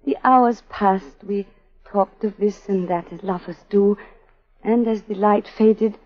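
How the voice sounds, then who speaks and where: A woman's voice: mid-range voice, steady tone, slow pace, natural voice. An actress playing a bitter, scarred young woman criminal in a 1940s radio drama, with clipped, theatrical delivery. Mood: composed testimony. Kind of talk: 1940s radio melodrama acting. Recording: muffled old 1940s radio recording.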